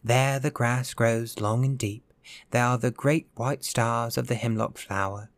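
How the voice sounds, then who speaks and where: A man's voice: lively intonation, unhurried, no room sound, natural voice. A man playing a weary, mournful old ghost. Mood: sad, dreamy. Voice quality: soft, low, dreamy delivery. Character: lonely, weary, centuries-old male ghost, sad and dreamy.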